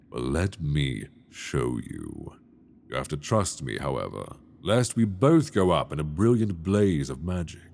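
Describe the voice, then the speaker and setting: Male actor as an ancient, towering dragon for an ASMR roleplay; low, unhurried, seductive and menacing, close binaural mic. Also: gravelly, a little hiss or hum, full character voice. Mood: coaxing menace. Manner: slow, intimate, coaxing and menacing.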